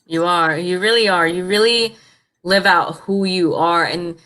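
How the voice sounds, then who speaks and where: A woman's voice: lowish voice, unhurried, pushed and bright. A woman hosting a casual interview chat, who encourages and affirms her guest. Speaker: show host.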